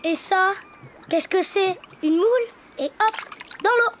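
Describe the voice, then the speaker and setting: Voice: very high-pitched, slow pace, fairly bright tone, slight background noise, full character voice. A performer voicing a cheerful, curious little donkey boy in a preschool cartoon, with simple, wondering childlike phrasing. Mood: curious, delighted.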